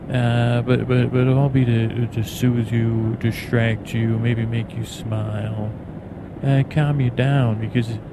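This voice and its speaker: A man hosting a bedtime podcast in a meandering, halting ramble, stammering and repeating words. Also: lowish voice, gravelly. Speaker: podcast host. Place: on an airplane in flight. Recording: steady airplane cabin noise behind voice. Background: airplane cabin drone.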